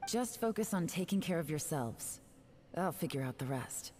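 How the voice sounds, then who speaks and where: A woman's voice: low voice, animated, normal speed, darkish tone. A woman voicing a cool-headed, commanding leader in a video game, composed and taking charge. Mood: calm, grave. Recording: faint ambient noise behind.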